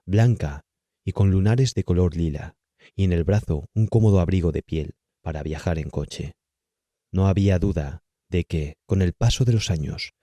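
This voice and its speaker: Deep, mellow Castilian man narrating. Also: dry recording, studio quality. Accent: Castilian Spanish. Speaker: audiobook narrator. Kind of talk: scripted literary narration of a horror tale. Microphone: close, dry studio-style microphone.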